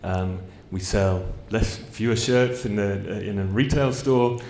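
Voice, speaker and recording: A man's voice: fairly low pitch, a little slow, darkish tone, roomy sound, a little hiss or hum. A man answering on a panel at a fans' forum, hesitant and full of fillers. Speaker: commercial director on a panel.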